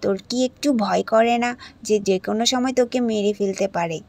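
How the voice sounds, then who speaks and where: A woman's voice: medium pitch, brisk, vocal fry, a little mellow, lightly acted. A woman voicing a loyal, worried girl friend in a cartoon, earnestly warning the heroine. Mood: urgent.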